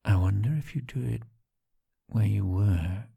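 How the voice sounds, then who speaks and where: A man speaking softly and teasingly to the listener in an intimate monologue, often dropping to a whisper and chuckling between phrases. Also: on the low side, measured pace, gravelly, husky, dry recording.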